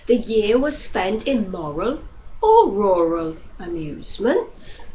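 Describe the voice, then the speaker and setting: A woman narrating a classic novel as an audiobook reader, with a measured, expressive storytelling delivery. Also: mid-range voice. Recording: dull lo-fi recording with faint noise.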